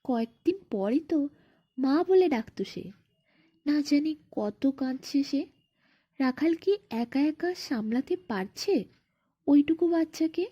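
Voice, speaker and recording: A woman narrating a bedtime fairy tale expressively, in short phrases with drawn-out dramatic pauses. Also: sits high, animated, dark tone, muffled recording. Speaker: storyteller.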